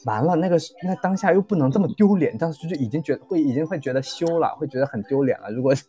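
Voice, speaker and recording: A man chatting casually as an interview guest, halting and a little self-deprecating. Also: fairly high pitch, expressive, warm tone, soft background music. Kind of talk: casual anecdote and chat.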